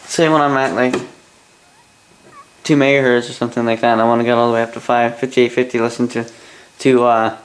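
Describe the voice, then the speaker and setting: A Canadian man talks through a casual hobbyist review in a flat, mid-pitched voice. He rambles and grumbles in asides, with mild swearing. There is faint noise behind him. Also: fairly quick, poor audio.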